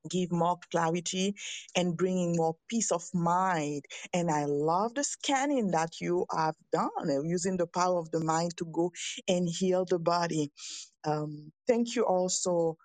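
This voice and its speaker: A woman from Haiti, a naturopath sharing hesitantly with many pauses in an online group. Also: on the low side, no echo. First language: French. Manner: hesitant, many fillers, warm thanks. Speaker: naturopath attending a session. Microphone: online call.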